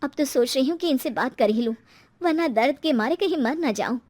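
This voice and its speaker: Woman as meek, weary housewife, high-pitched. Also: lively intonation, brisk, a little mellow. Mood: pained, hesitant. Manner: hesitant, submissive. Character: meek, weary middle-aged housewife and mother.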